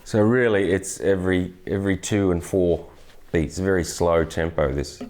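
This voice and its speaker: Deep-voiced man teaching guitar casually. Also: moderate pace. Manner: relaxed, chatty, many restarts and fillers. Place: indoor studio, brick wall backdrop. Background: acoustic guitar playing.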